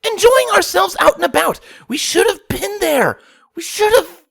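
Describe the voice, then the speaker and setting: A man voicing a brash young female cartoon pegasus, exasperated and shouting in anguish. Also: very high voice, very animated, moderate pace, creaky, pitched above the performer's own voice, full character voice. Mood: frustrated, anguished.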